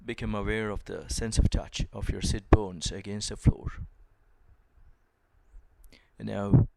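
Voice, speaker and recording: A man teaching a guided meditation, speaking in a gentle, measured manner with long pauses between phrases. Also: fairly low pitch, little pitch movement, vocal fry, very noisy.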